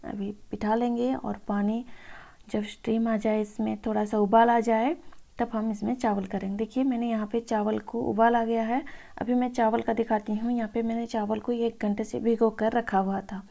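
A woman's voice: fairly high pitch, little pitch movement, normal speed, creaky, warm tone. A woman home cook talking viewers informally through a recipe, addressing them as friends. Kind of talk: informal cooking tutorial voice-over.

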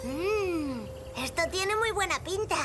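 Cartoon doll: sassy little girl, very high voice, confident, cheeky, music bed throughout. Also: expressive, slow pace, heavily acted. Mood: eager. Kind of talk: children's cartoon voice acting. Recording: constant loud background music.

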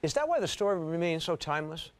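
A middle-aged man co-hosting a studio talk show, putting measured questions to a guest. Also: fairly high pitch, sing-song. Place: TV studio, indoors.

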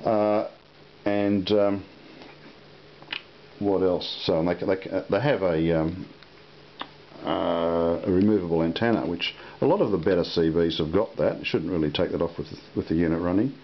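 A man giving a casual, unscripted product demonstration in a halting, unhurried way. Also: deep voice. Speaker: product reviewer. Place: indoor room at a table. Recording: muffled lo-fi audio with hiss.

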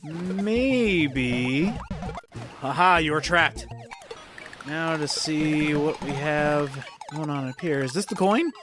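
A hoarse gameplay commentator, losing their voice to a cold, chatting casually and exclaiming at what happens on screen. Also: mid-range voice, lively intonation, slow. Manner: chatty, exclaims at game events. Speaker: let's play commentator. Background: faint game music.

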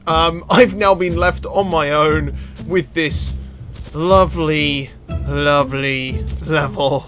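Slow-paced man in casual gaming commentary. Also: a little high. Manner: excitable exclamations, sweary banter. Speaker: gaming video creator. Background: game music.

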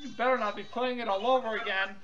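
A woman's voice: a little high, steady tone, fast, over music. A woman chatting casually on a phone call in an exclamatory, incredulous manner. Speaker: prank call participant.

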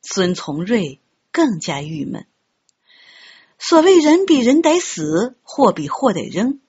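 A woman reading a novel aloud as an audiobook narrator, in an expressive storytelling style. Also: a little high, slow pace, slightly rough. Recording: dull, muffled lo-fi audio.

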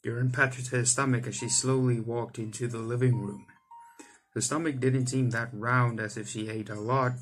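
A man's voice: medium pitch, pushed and bright. Young man reading a visual novel, music behind. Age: young adult. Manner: stumbles over text and rereads lines. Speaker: gaming video host. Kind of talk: reading visual novel aloud with casual commentary. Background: game music.

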